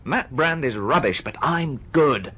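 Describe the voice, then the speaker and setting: A man's voice: medium pitch, lively intonation, a little slow, a bit bright, breathy edge. An actor playing an earnest, aggrieved male novelist in a radio drama, pleading and protesting insistently. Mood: indignant. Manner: earnest, pleading, swinging between protest and hesitation.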